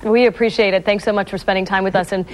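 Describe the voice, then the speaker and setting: A woman's voice: mid-range voice, fairly even intonation, quick pace, rough recording. A woman presenting a live broadcast interview in a conversational, polished host's manner.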